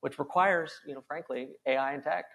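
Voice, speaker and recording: A man speaking on a conference panel, quick and enthusiastic, often repeating words as he thinks. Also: a little high, steady tone, creaky, fairly bright tone, husky, poor audio. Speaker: panel speaker, company executive.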